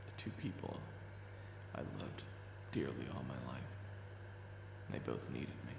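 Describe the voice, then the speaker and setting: A man playing a weary boss with a buried temper in a dramatic audio roleplay, gravelly and brooding. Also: extremely low pitch, animated, measured pace, roomy sound, noisy background, full character voice. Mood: brooding, resigned. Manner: brooding when narrating, exasperated in dialogue. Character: weary reformed hot-tempered man, a soft-pushover boss. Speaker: narrator and lead character.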